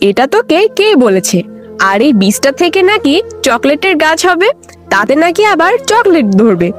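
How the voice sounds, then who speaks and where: A doting, exasperated cartoon mother, voiced by a woman, high-pitched and swinging widely in tone. She has a chiding way of talking, with faint music behind. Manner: animated, chiding. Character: village mother, doting yet mocking and exasperated. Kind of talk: Bengali children's cartoon voice acting.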